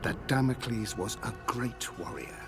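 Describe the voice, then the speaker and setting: An actor playing a stern older Roman general speaking to his son in a measured, grave, fatherly way in a game cutscene. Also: fairly low pitch, animated, creaky, over music. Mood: solemn. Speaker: father of a young soldier.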